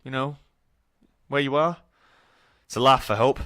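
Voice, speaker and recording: A man's voice: medium pitch, measured pace, pushed and bright, no echo, studio quality. A young man playing an awkward, grieving student in a naturalistic audio drama, hesitant and rambling with nervous jokes. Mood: nervous, guilty. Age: young adult.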